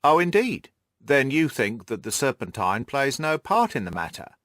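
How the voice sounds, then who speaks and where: A man voicing a gruff, irritable, cocksure police detective in an audiobook, blustering and snappish. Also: medium pitch, big pitch swings, normal speed, fairly bright tone, no echo, studio quality. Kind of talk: audiobook character dialogue.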